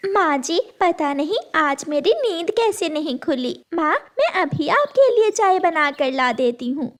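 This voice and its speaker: A woman's voice: extremely high pitch, sing-song, unhurried, exaggerated voice. A woman voicing a meek, mistreated young bride bird in a cartoon, speaking politely and plaintively. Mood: meek, apologetic.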